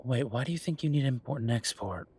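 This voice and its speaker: A man playing an exasperated, firm werewolf bureaucrat in an audio roleplay, talking emphatically in a dry, husky voice. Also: mid-range voice, lively intonation, fast talker, a little mellow. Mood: patient, insistent. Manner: exasperated, emphatic, repeating himself. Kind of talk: audio roleplay acting, one side of a phone call.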